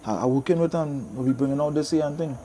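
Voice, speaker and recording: A Trinidadian man in his fifties talks quickly in a slightly high, mellow voice. He is emphatic and conversational, repeating phrases as he answers in an interview. There is light noise behind him. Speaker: interview guest, soca singer and DJ. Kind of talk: casual interview chat. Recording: steady background noise.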